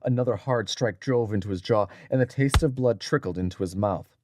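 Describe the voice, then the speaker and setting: A man reading scripted adventure fiction as an audiobook narrator, in a dramatic, measured storytelling manner. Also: fairly low pitch, background noise.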